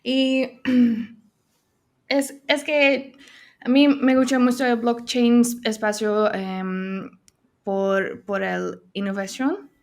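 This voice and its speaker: Slow, hesitant Dutch-accented woman. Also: a little high, fairly even intonation, a little mellow. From Netherlands. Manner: hesitant, many fillers, laughs often, switches between Spanish and English. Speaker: guest. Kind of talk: casual interview.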